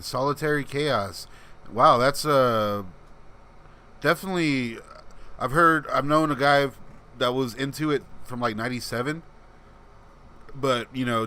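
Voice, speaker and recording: A man's voice: medium pitch, sharp tone, a little hiss or hum. A man hosting a casual livestream, reading and answering chat comments in a laid-back, rambling way, with a voice people call soothing. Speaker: channel host reading live chat.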